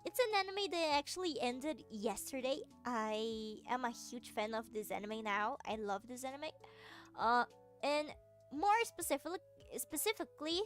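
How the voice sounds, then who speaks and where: Giggly high-voiced Brazilian woman streamer. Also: a bit bright. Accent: Brazilian Portuguese. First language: Brazilian Portuguese. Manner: giggly, rambling, many fillers and restarts. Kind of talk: casual chat with viewers.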